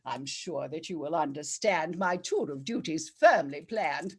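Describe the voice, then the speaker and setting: A woman's voice: low-pitched, lively intonation, a little slow, husky, full character voice. A woman playing a righteous female judge, declaiming comic rhyming verse theatrically and savouring each rhyme. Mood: imperious, brisk. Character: regal, righteous female judge of a bawdy court. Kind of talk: dramatic verse reading, rhyming comic poem.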